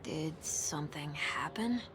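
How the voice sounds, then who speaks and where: An actress playing a hushed, curious female companion in a video game, with noise behind her. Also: deep voice, animated, takes it slowly, soft background music, exaggerated voice, poor audio. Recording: clear background noise and ambience.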